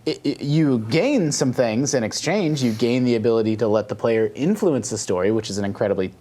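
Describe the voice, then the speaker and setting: A man's voice: mid-range voice, animated, a little fast, mellow. A man talking casually and earnestly as a podcast panelist, explaining his opinions at length.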